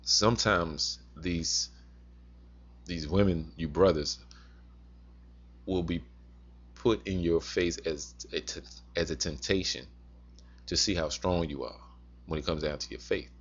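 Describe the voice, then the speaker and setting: A man with an extremely deep voice gives informal religious advice. He talks haltingly, circling back to repeat his cautions like a teacher addressing his flock. The recording is dull and muffled. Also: fairly bright tone, slightly rough. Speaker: religious teacher advising men. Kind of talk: informal sermon-like advice talk.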